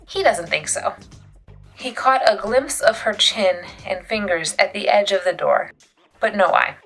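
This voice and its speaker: A woman reading a children's novel aloud as an expressive storyteller, with dramatic pauses and emphasis. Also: medium pitch, faint music behind. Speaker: youth services librarian reading a book aloud.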